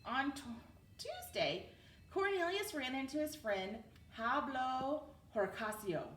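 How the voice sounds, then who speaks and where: A woman narrates a children's story in an unhurried, animated way. She sounds a little distant in a roomy space. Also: a little high. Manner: expressive read-aloud delivery. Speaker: teacher reading a storybook aloud. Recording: roomy, distant mic with background noise.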